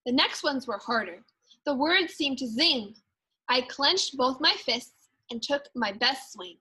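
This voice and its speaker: A woman who teaches second grade, reading a picture book aloud with expressive, deliberate storytelling and dramatic emphasis. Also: high-pitched, slightly rough, low-quality recording.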